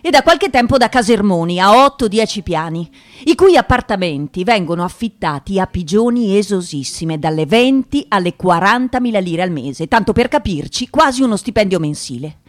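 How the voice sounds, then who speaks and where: A thoughtful woman journalist narrates a radio drama, played by an actress. Her voice sits at an average pitch for a woman, and she tells the story in a measured, scripted way. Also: animated, close to an everyday voice. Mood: sober, descriptive.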